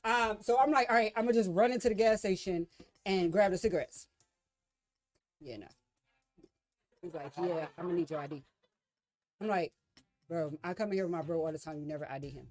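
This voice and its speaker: A woman in her late thirties, co-hosting a casual chat and telling stories in a quick, playful way. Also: on the low side. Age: 30s. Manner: animated storyteller, quick and playful. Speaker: podcast co-host.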